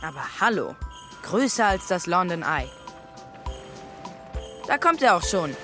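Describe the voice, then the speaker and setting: A woman's voice: on the low side, big pitch swings, a little slow, music in the background. A woman playing a cheeky, boastful truant schoolboy in a children's radio play, flippant and taunting.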